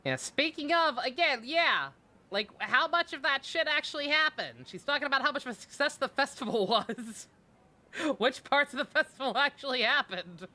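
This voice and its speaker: Bright-voiced sarcastic woman streaming a game. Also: high-pitched, lively intonation, moderate pace. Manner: sarcastic, irreverent, swears freely. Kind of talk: casual live-stream commentary.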